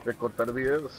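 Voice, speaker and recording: A man's voice: mid-range voice, breathy edge. A man in a game voice chat, laid-back and joking with his friends. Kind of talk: casual gaming chat.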